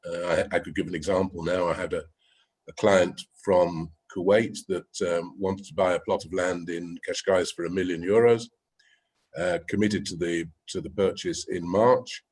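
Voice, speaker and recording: Man, very low husky voice, measured delivery, webinar panelist. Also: steady tone, darkish tone. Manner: measured, with frequent "yeah?" tags. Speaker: real estate agent. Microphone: video call.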